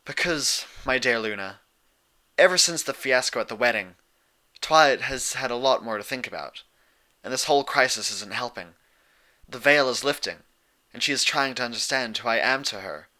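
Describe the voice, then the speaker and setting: A man plays a royal pony ruler in a storybook story, a patient elder sister. Her voice is very bright and lifted a little in pitch. She speaks in measured, formal sentences and keeps herself guarded. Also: natural voice. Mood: reflective. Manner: measured, formal, reflective phrasing. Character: composed, regal elder pony sun princess, patient and guarded.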